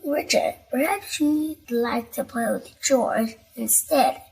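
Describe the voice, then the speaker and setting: A woman voicing a gentle mother rabbit in a storybook, speaking politely and coaxingly. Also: fairly high pitch, takes it slowly, creaky, breathy edge, exaggerated voice, poor audio.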